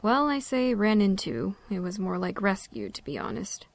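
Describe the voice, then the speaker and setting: A woman's voice: mid-range voice, very animated, normal speed, gravelly. A woman playing a wry, self-reliant park ranger in an audio drama, talking bluntly and casually.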